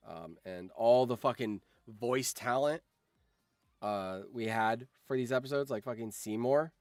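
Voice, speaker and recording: A man hosts a casual livestream in a mid-pitched voice. He rambles through his thoughts and swears freely. The sound is dry, with hardly any room in it. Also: a little slow, a little mellow, no echo, soft background music. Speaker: livestream host.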